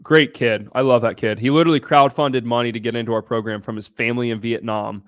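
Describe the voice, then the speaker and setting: A man's voice: mid-range voice, steady tone, fast, no echo, clean studio sound. A young man from Southern Ohio hosting a casual livestream, answering viewers' questions in a brash, blunt way and swearing freely. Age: young adult. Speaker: livestream host.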